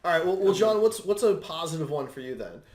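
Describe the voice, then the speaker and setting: A man's voice: fairly high pitch, expressive, fast, a little mellow. A man hosting a podcast, talking casually about movies. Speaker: podcast host. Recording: roomy, echoey room recording.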